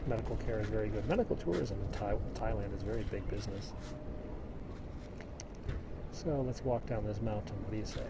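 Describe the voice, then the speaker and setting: A man's voice: lowish voice, fairly quick, creaky, pauses often, highs cut off, heavy background noise. A man from Illinois with a Midwestern accent, casually chatting to viewers while he walks outdoors on a mountain road. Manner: chatty, explanatory, answering viewers. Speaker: vlogger live-streaming a hike. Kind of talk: casual walking livestream chat.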